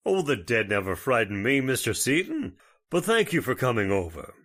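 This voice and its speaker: Man as old-time radio judge, theatrical, blustery, forceful bright voice, extremely animated. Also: medium pitch, normal speed. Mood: genial. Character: genial, unworried judge turning blustery and alarmed.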